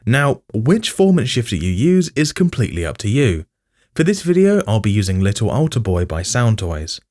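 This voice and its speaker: Man narrating a tutorial with lively pitch. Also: moderate pace. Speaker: music production tutorial host.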